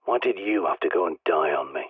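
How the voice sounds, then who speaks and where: A man with a British accent voicing a gruff, paranoid doomsday survivalist in a video game, blunt and commanding, heard through a radio filter. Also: deep voice, expressive, a little fast, dry recording. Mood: weary, bitter. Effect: radio transmission filter. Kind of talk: video game character dialogue. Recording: narrow, phone-like radio sound.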